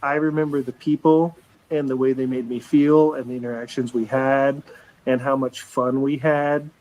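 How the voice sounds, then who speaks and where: A man's voice: mid-range voice, little pitch movement, normal speed, a little mellow, low-quality recording. Earnest rambling man in his forties. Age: 40s. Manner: rambling and earnest, with frequent restarts and casual swearing. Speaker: livestream show regular. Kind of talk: casual live chat.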